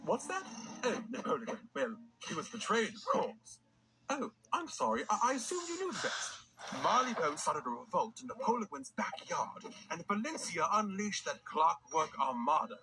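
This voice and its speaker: A man's voice: sits high, big pitch swings, normal speed, bright, forceful tone, slight background noise, full character voice, rough recording. A man voicing a sly mercenary adventurer in a video game, telling the tale in a theatrical, swaggering way. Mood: conspiratorial. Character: dashing, sly mercenary adventurer briefing a comrade. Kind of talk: scripted game character dialogue. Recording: music behind the voice. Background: music.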